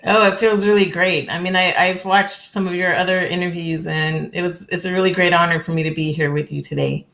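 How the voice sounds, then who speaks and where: A woman from California with a lowish voice and a gravelly edge. She talks in a friendly, conversational way over a video call and often pauses on an um. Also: steady tone, a bit bright. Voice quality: friendly, with frequent ums and small laughs. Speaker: interview guest. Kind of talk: casual conversational interview.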